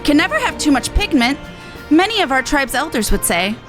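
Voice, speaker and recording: An earnest young tribeswoman, read by a woman for a fantasy game, speaks in a high, expressive voice. Her dutiful, resistance-veteran character comes through in animated delivery, with music playing behind. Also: a little fast, low-quality recording. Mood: grateful, upbeat. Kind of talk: video game dialogue read aloud.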